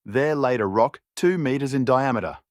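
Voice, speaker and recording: A man's voice: mid-range voice, moderate pace, no echo, clean studio sound. A man reading a scripted story as an audiobook narrator.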